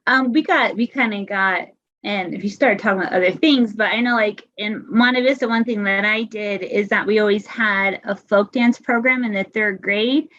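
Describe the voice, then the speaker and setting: A woman teacher telling a lively story on a video call, often correcting herself as she goes. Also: mid-range voice, normal speed. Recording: dull lo-fi video call audio.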